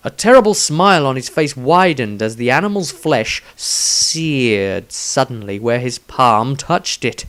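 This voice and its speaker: A man narrates a fantasy adventure as a storyteller reading from a script. His voice sits at a middling pitch, and his delivery is dramatic, suited to spoken-word fiction. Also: lively intonation, measured pace, few pauses, a bit bright. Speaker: author reading own story.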